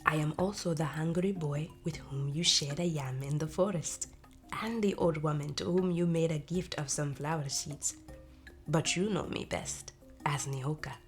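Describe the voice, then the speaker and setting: A woman voicing a kind, wise male snake king in a solemn, measured manner for a storybook tale. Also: low voice, faint music behind, close to an everyday voice. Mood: solemn, tender.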